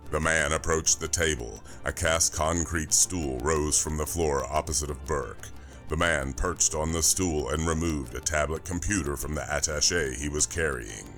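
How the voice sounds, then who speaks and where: A man narrating a science fiction thriller audiobook from a script, in a measured, dramatic way. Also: a bass voice, fairly bright tone, faint music behind.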